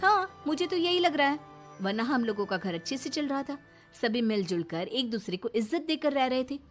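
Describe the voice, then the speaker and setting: A woman's voice: sits high, sing-song, moderate pace, pitched above the performer's own voice. A woman playing a sensible young working wife in a moral story, polite but earnest and questioning. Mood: upset, questioning. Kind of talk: Hindi moral story dramatization. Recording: music behind the voice.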